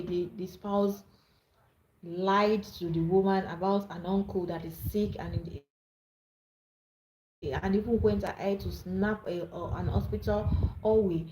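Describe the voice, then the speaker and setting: A woman's voice: mid-range voice, mellow, roomy sound, slight background noise, low-quality recording. A Nigerian woman hosting a live-streamed advice talk, speaking earnestly and often repeating and restarting her words. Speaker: livestream host.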